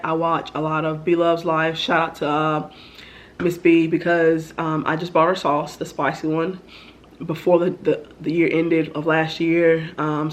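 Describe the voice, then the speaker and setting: A woman's voice: sits low, a little hiss or hum. A young woman, a bit stuffy from the flu, chatting casually through questions about herself with lots of ums. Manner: chatty, rambling, many ums. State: stuffy, getting over the flu. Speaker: vlogger. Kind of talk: casual get-to-know-me Q&A.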